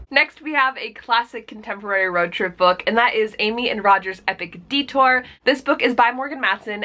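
A woman's voice: mid-range voice, a bit bright. A young woman chatting to camera from a small bedroom, recommending books in an upbeat way, often saying "like" and "kind of". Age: young adult. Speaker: book vlogger. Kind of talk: casual book recommendations to camera.

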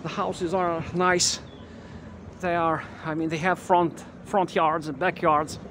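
A man with a fairly high voice narrates a relaxed walking tour outdoors, stopping and restarting often with plenty of fillers. He talks into a camera mic as he walks. Street noise and passing traffic are clearly heard behind him. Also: takes it slowly. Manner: hesitant, many fillers and restarts. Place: quiet residential street outdoors. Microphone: handheld camera mic while walking.

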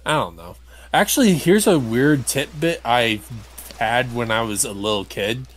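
A man's voice: mid-range voice, lively intonation, a little slow, slight background noise. A man giving casual, wry let's play commentary as he hosts a playthrough. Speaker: gamer hosting a playthrough.

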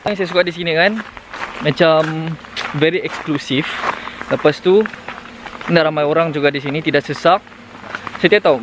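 A man's voice: a little high, background noise. A young man hosting a casual camping vlog outdoors, talking into a handheld camera and mixing in English. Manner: chatty, lively, mixes English into Malay. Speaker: vlogger host. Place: outdoors at a forest campsite. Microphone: handheld camera at arm's length. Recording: music playing under the voice.

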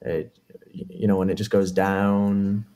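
A man's voice: on the low side, completely flat delivery, unhurried, mellow, close to an everyday voice. A man playing a nervous, friendly young job applicant and victim in an analog horror film, with a hesitant, stammering delivery. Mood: chatty, upbeat.

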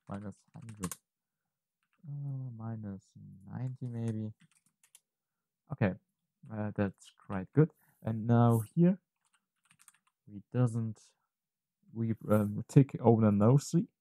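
A man narrating an unscripted software tutorial, thinking aloud haltingly and correcting himself as he goes. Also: on the low side. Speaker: tutorial presenter.

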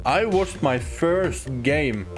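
A young man co-hosting a casual gameplay stream, pausing and searching for his words. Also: fairly high pitch, lively intonation, faint music behind. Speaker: gaming co-host.

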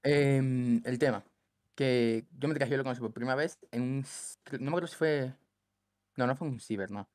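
A man's voice: mid-range voice, moderate pace, a little mellow, dry recording, studio quality. A man born in Cuba, a guest on a casual podcast, rambling and dropping slang like loco and brother. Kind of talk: casual chat.

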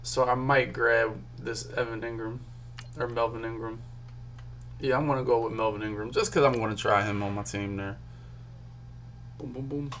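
A man's voice: mid-range voice, steady tone, moderate pace, pauses often, a bit bright, slight background noise. Chatty young man hyping pack pulls. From Philadelphia. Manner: chatty, hyped, talks to chat while clicking. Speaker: gaming streamer. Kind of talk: casual pack-opening commentary. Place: small room at home.

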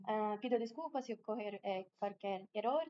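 A woman's voice: medium pitch, moderate pace, mellow, lo-fi sound, a little hiss or hum. A Brazilian woman with a Portuguese accent giving a conference talk in a large room, careful and often restarting her words. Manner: careful, with frequent hesitations and restarts. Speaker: conference speaker. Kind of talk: conference presentation.

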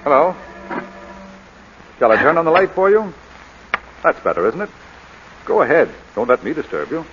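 An actor playing an urbane, lovestruck English lord with a flowery, wry manner in a 1940s radio drama. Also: male voice, a little high, sing-song, moderate pace, a little hiss or hum. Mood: coolly courteous. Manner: flowery, wry, self-mocking. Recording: muffled vintage 1940s radio recording.

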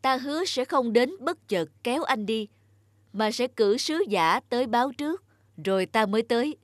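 A woman's voice: on the high side, animated, moderate pace, no room sound, clean studio sound, close to an everyday voice. Woman voicing a solemn, stately Grim Reaper. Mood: solemn gratitude. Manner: formal, stately. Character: solemn, commanding Grim Reaper who shows gratitude. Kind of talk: cartoon folk-tale acting.

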